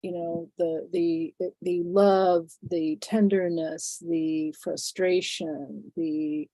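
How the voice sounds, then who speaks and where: A woman in her sixties with a lowish, mellow voice, thick and phlegmy from a head cold. She talks reflectively, pausing and restarting as she searches for words. The sound is dry and close. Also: fairly even intonation, no room sound, low-quality recording. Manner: thoughtful, halting, many fillers and restarts. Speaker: interview guest. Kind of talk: reflective conversational interview.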